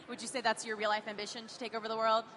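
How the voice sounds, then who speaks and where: A woman's voice: fairly high pitch, fast talker, a bit bright, a little hiss or hum. A woman hosting on-floor interviews at an event, upbeat and polished. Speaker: event reporter host.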